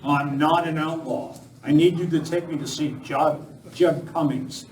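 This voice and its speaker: A man's voice: a little high, steady tone, measured pace, darkish tone, lightly acted. An amateur actor playing a commanding masked lawman hero in a live radio western. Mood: firm, urgent. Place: village hall stage, live performance. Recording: roomy, distant miking, faint noise.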